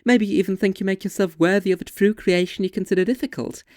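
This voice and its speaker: An intense, sardonic young woman played by a performer argues her case in deliberate, articulate sentences. The voice sits at a middling pitch and is recorded very dry and close. It sounds like scripted literary dialogue, opinionated throughout. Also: steady tone, a little mellow, dry recording, lightly acted. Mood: argumentative, sardonic. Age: young adult. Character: two young women debating art, intense and sardonic. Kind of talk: scripted literary dialogue, argumentative monologue delivery.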